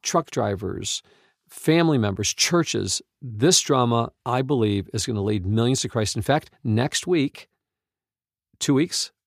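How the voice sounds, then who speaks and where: Earnest man with a gravelly edge. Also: medium pitch, expressive, measured pace, dry recording, studio quality. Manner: earnest, emphatic, exclamatory. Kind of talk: unscripted conversational talk with testimonies.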